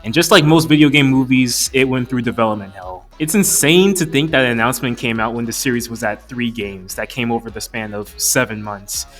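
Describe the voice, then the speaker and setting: A man's voice: medium pitch, a little fast, few pauses, fairly bright tone. Casual man reviewing over background music. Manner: casual, opinionated, slangy. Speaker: video reviewer. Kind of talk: conversational film review commentary.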